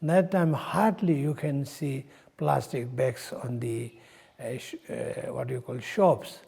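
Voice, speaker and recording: Senior monk, male, 60s, English. Very measured pace, creaky tone, halting unscripted explanation. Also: mid-range voice, fairly even intonation, a little mellow. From Nepal. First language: not English. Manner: halting, searching for words, frequent pauses. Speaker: Buddhist monk in charge of a monastery.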